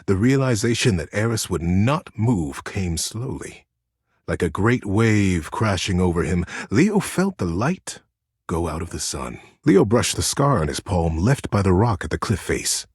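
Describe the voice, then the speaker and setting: An actor voicing a gentle, earnest storyteller character from a game, narrating a bedtime tale with dramatic pauses. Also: male voice, low-pitched, sing-song, slightly rough, no room sound, clean studio sound, full character voice. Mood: somber. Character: gentle male teddy bear storyteller, tender and earnest.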